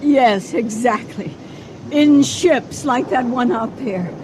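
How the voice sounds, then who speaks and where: An actress playing an anxious, devoted middle-aged wife in an old-time radio drama, with a breathless, emphatic delivery. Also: female voice, fairly high pitch, slow. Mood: urgent dread. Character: anxious, devoted wife caught at sea in a storm. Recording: noisy old radio recording.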